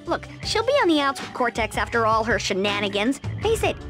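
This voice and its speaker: A woman playing a clever, determined young bandicoot, brisk and matter-of-fact, in a cartoon video game. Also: on the high side, very animated, over music.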